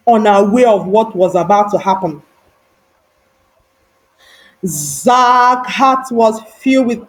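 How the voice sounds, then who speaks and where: A woman narrating a moral folktale in a solemn, deliberate, emphatic and dramatic style. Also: on the high side, darkish tone, rough recording. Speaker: storyteller.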